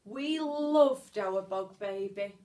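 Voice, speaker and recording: A woman reading a children's story aloud like a teacher, expressively, with measured pauses and stretched words. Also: mid-range voice, animated, warm tone.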